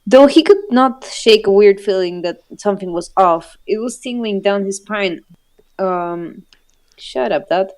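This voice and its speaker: A woman's voice: mid-range voice, a little slow, darkish tone. A giggly woman reading fan fiction aloud with casual joking commentary, stumbling over words and swearing freely. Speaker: co-host reading fanfiction.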